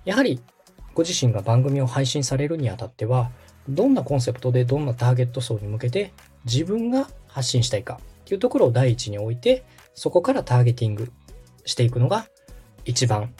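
A man's voice: medium pitch, sing-song, a little fast, warm tone, husky, faint music behind. A man in his thirties from Osaka, hosting a podcast and politely explaining how podcasting works, with frequent fillers. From Osaka, Japan. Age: 30s. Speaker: podcast host and radio director. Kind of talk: explaining casual chat.

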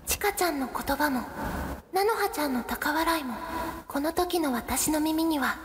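A woman playing a timid, easily flustered high school girl in a radio drama, speaking in a hesitant, plaintive way. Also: normal speed, roomy sound, big-room sound, noisy background, lightly acted. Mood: dazed narration.

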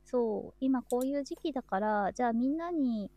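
A woman's voice: a little high, expressive, moderate pace, warm tone, no echo. A woman hosting a live show in casual, unscripted chat.

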